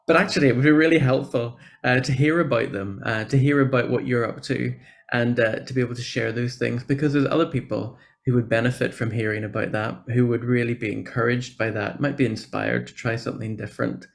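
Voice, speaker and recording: A man's voice: medium pitch, fairly even intonation, a little fast, creaky. A man with a Northern Irish accent giving an informal, encouraging conference presentation over a video call. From Northern Ireland. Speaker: church programme officer, guest speaker.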